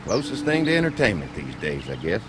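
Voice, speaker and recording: Folksy, lively Southern farmer, noisy background. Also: male voice, deep voice, a little fast. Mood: affable. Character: folksy rural young dairy farmer, friendly and easygoing. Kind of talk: video game voice acting.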